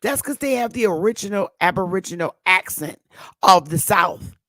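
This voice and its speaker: Woman from the northern US, emphatic lecture style, mid-pitched voice, dry close sound. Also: lively intonation, fairly bright tone, dry recording. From northern United States. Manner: emphatic, preachy, with slow drawn-out stresses. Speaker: online talk show host. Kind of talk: casual teaching monologue.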